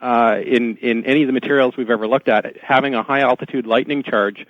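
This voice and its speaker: A man as a radio guest, explaining things haltingly and often repeating words. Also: medium pitch, steady tone, dry recording. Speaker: talk show guest. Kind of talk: explanatory radio interview talk. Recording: dull, lo-fi dry radio feed.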